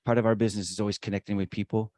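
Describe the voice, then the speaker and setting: A man's voice: lowish voice, little pitch movement, very fast, warm tone, no room sound, clean studio sound. A man co-hosting a conversational interview podcast, talkative and emphatic, with promotional asides. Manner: talkative, emphatic, self-described showman. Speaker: podcast co-host.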